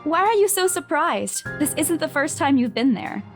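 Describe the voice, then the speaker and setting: A woman's voice: high voice, big pitch swings, moderate pace. A woman voicing a jittery, cautious young woman in a horror visual novel, who talks nervously and earnestly about ghosts. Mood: uneasy. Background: game music.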